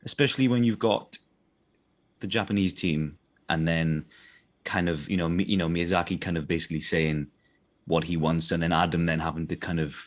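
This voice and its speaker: Low-voiced South Welsh man chatting. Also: fairly even intonation, brisk. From South Wales. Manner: chatty, hesitant restarts, often asks "do you know what I mean". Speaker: voice actor guest. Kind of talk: casual interview chat.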